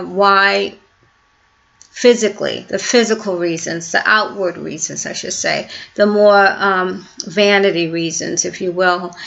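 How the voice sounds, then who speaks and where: Woman, 50s, wellness coach vlogging, chatty and loose, mid-pitched voice, dull lo-fi recording. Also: vocal fry. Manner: warm, chatty, many fillers and restarts. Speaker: health and purpose coach. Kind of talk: casual talking-to-camera vlog.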